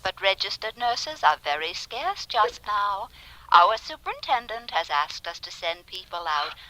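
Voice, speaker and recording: Bright, officious telephone operator. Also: female voice, mid-range voice, sing-song, measured pace. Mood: politely unmoved. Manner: formal, clipped, polite, impersonal. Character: unhurried, officious telephone operator and hospital receptionist. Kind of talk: 1940s radio acting. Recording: noisy vintage radio, telephone sound.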